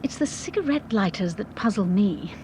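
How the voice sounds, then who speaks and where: An actress playing a poised, inquisitive, affectionate detective's wife in a refined, expressive manner, in vintage British radio drama style. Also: female voice, mid-range voice, moderate pace, slight background noise, close to an everyday voice, rough recording. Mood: musing.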